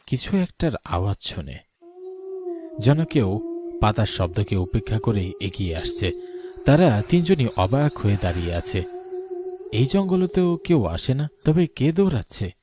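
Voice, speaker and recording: Low-voiced man narrating a suspense story. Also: background noise. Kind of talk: dramatic scripted story narration.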